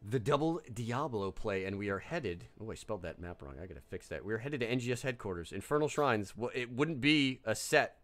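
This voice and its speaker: Animated man casting a live game. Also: fairly low pitch. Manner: animated, quick asides and restarts. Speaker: esports caster.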